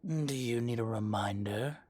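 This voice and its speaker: A man playing an arrogant dragon mafia boss in an audio roleplay, speaking deliberately with mock politeness and quiet threat. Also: medium pitch, natural voice. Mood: coolly menacing. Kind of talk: scripted roleplay audio drama monologue.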